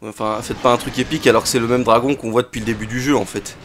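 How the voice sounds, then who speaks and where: A man's voice: mid-range voice, fairly even intonation, rapid-fire, a bit bright, faint music behind. A man with a cold casually commenting on a game he is playing, chatty and full of fillers and interjections. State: has a cold. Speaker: let's play host.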